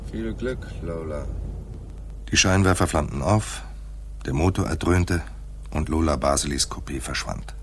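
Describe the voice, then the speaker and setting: An actor playing a laconic hard-boiled private detective in a noir radio play, with a dry, terse delivery and a husky voice. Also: male voice, a little slow, a little hiss or hum. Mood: curt, cool. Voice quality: husky, very deep. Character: hard-boiled male private detective narrating his own case. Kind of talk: noir radio play, first-person narration.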